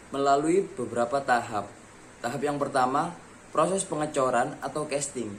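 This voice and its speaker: A man at an everyday middle pitch reads a scripted technical lesson, calmly moving from one numbered point to the next. A faint hum of ambience sits under the voice-over. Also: rough recording. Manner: reads steadily from a script, listing steps in order. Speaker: student presenter.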